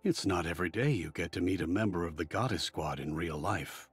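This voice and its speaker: A man's voice: deep voice, lively intonation, brisk, husky, faint music behind, exaggerated voice. An actor voicing an earnest, admiring but cautious man in a video game, talking like an articulate storyteller. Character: earnest, admiring, cautious male fan of war heroes.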